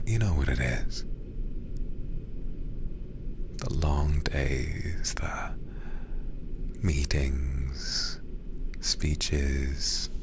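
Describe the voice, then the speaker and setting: A man playing a seductive, menacing demon lord in an ASMR roleplay, teasing and condescending in a breathy voice with drawn-out pauses. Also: background noise, heavily acted. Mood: languid, teasing. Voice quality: very deep, breathy whisper-heavy voice. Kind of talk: ASMR roleplay, close whispered delivery.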